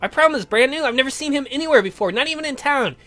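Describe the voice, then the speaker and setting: A perky young girl from a horror game, acted out by a woman. The voice is fairly high and lively, chatty and playful, with music underneath. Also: rapid-fire. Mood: urgent, chatty. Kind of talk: performed visual novel reading.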